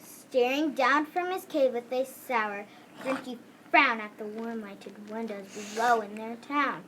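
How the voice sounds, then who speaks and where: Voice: mid-range voice, a little slow, pushed and bright, slight background noise, poor audio. A child narrating a scripted story as part of a readers theater.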